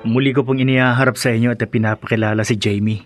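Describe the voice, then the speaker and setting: A man's voice: medium pitch, steady tone, fast, music in the background, natural voice. A man acting in a Filipino radio drama as an earnest young newlywed, a conciliatory son and brother. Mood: nervous, pleading.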